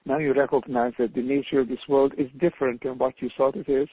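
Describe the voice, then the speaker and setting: An older Hungarian man speaks English with his native accent, earnest and halting, repeating words as he builds his thoughts. His voice is husky and comes through a tinny phone line with a dry sound. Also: mid-range voice, fairly even intonation, no echo. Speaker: panel guest, author. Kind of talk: reflective panel talk by phone. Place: calling in from home abroad.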